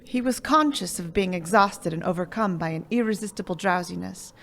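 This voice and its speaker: A woman narrating a live radio play in a drowsy, drawn-out way. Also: lowish voice, a bit bright.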